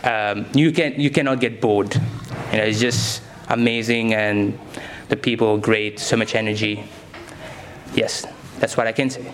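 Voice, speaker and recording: A man's voice: lowish voice, steady tone, unhurried, a little hiss or hum, poor audio. A young man, answering interview questions as a science teacher in simple, enthusiastic phrases.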